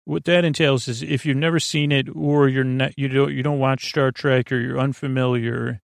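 A man hosting a bedtime podcast in a meandering, halting monologue, stammering, correcting himself and drifting off on tangents while reassuring the listener. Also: level tone, no echo, clean studio sound. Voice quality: creaky, gravelly. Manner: reassuring, low-pressure. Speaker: podcast host. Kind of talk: rambling scripted-loose bedtime podcast monologue.

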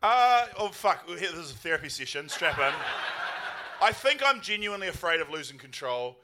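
Animated, high-voiced self-deprecating male comedian. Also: normal speed. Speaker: comedian panel guest. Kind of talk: casual comic banter. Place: live venue stage with audience. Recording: live show with faint background noise.

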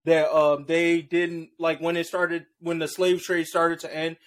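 A man co-hosting a podcast in a relaxed, casual chat, speaking loosely and slangily and often tagging "bro" onto his lines. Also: on the high side, a little slow. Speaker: podcast co-host. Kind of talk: casual chat about shows and movies.

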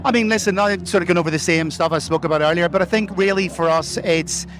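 A man's voice: sits high, quick pace, noisy background. A middle-aged Irish man answering live interview questions at an outdoor festival, earnest and full of corporate phrasing and fillers. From Ireland. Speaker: corporate sponsor representative.

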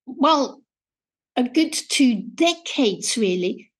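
A woman in her fifties from Austria with an Austrian accent, speaking as a food historian guest in an interview, enthusiastic and measured with soft laughs. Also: on the high side. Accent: Austrian German. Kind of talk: interview conversation.

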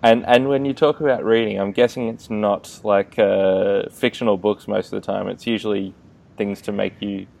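A man hosting an interview, friendly and quick to laugh, with hesitant fillers. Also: fairly low pitch, fairly even intonation, vocal fry, a little mellow. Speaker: podcast host, gym owner. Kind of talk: casual interview chat.

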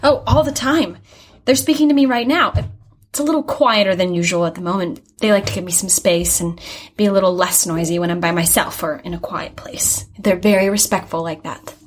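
An earnest young female student played by an actress in an audio drama, with a slightly husky, breathy voice. She talks openly and chattily, rambling and hesitating as she searches for the right words. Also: on the low side, fairly even intonation, a little fast, lightly acted. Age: young adult. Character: earnest, chatty young art student who hears angels. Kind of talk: naturalistic audio drama acting.

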